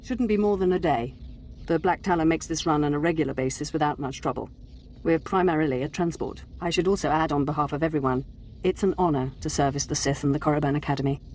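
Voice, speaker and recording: Actress as brisk military officer, lowish female voice, formal, dutiful, crisp, video game dialogue. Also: darkish tone, a little hiss or hum, natural voice. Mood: courteous, dutiful. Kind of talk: video game character acting.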